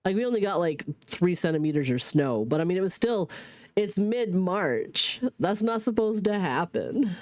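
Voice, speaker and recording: A woman's voice: lowish voice, expressive, normal speed, darkish tone, no echo, clean studio sound. Congested woman streamer with a head cold. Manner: rambling, swears, laughs often. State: stuffy sinus cold, congested. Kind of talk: casual stream chat.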